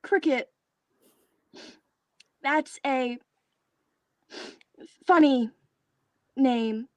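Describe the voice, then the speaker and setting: Woman acting a very high, tearful mourner. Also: no room sound. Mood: subdued, tearful. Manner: dramatic, halting, anguished delivery. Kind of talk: theatrical monologue in a staged reading.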